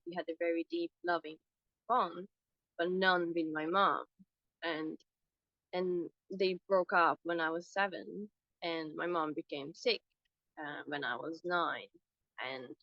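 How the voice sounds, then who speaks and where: A woman from Hungary, speaking as an interview guest on a call, reflective and halting with restarts. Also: on the low side, no echo, muffled recording. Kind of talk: personal conversation on a video call. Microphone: call microphone.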